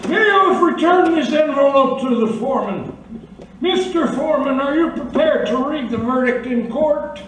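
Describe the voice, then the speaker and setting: A man's voice: very high-pitched, animated, normal speed. A man playing a stern, pompous judge with formal, declamatory delivery in a radio drama. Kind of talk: formal old-time radio drama acting. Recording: very echoey, distant, heavy noise.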